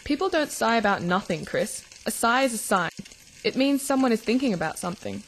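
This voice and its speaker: A caring, teasing big sister, noisy kitchen. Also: female voice, a little high, lively intonation, brisk. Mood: teasing, curious. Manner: teasing, reassuring, probing with questions. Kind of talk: radio drama acting for English learners. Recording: clear background noise of sizzling cooking. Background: frying and stirring kitchen sounds.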